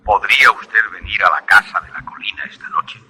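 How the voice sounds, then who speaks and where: An actor in a radio drama playing a grave, insistent old professor with a raspy voice, heard over a telephone. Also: male voice, lowish voice, wildly swooping pitch, brisk, sharp tone, exaggerated voice.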